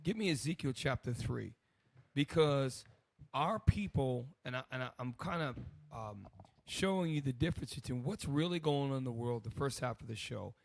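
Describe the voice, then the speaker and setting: A man, hoarse today, hosting a loose, rambling preaching commentary. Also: medium pitch, animated, moderate pace, dry recording. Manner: loose and rambling, many restarts. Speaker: host.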